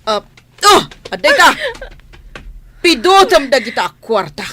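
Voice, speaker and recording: An actress with a Filipino accent playing a haughty, cruel mistress of a mansion in a radio drama, imperious and scolding. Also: female voice, high-pitched, sing-song, unhurried, a bit bright, soft background music, full character voice. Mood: dismissive.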